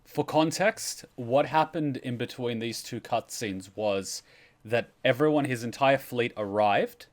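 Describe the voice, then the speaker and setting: A man's voice: medium pitch, a little slow. A man hosting a reaction stream, giving casual explanatory commentary. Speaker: host of a game lore reaction stream.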